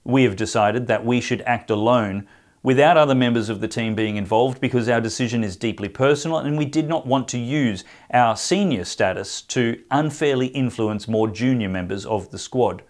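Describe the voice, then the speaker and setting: A male host of an interview show, speaking at an ordinary mid pitch. He reads aloud in a firm, measured way. His questions come out halting, with plenty of fillers and restarts. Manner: firm read-aloud delivery. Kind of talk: podcast interview.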